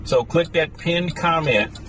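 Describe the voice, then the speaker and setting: A man's voice: on the high side, slow pace, over loud music. A man hosting a livestream, chatting casually to his viewers. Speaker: live stream host. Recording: clear car and road noise.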